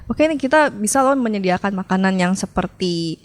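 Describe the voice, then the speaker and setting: A woman's voice: mid-range voice, lively intonation, fairly quick. A woman, a guest on a casual podcast, explaining her food business. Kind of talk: casual interview.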